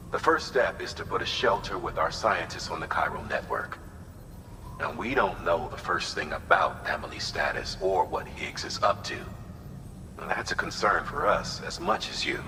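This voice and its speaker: An actor playing a calm male commander who briefs in a measured way. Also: low-pitched, noisy background, lightly acted. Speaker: mission director character.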